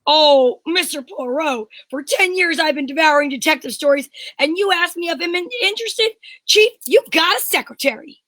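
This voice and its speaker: A woman's voice: sits high, normal speed, a bit bright, dry recording. A woman playing an eager, wisecracking amateur sleuth in an old-time radio mystery, with breezy, gushing old-fashioned slang.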